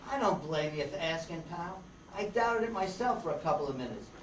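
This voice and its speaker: A man's voice: a little high, expressive, fairly quick, close to an everyday voice. A man playing a slangy, wisecracking hard-boiled tough guy in an amateur radio detective play. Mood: blunt. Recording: distant echoey room, faint noise.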